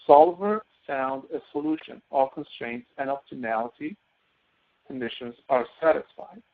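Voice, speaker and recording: Gravelly male instructor on a phone-like line. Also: medium pitch, animated, moderate pace. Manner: instructional, explaining step by step. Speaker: course instructor. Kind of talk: live spoken software tutorial. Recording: narrow phone-like audio.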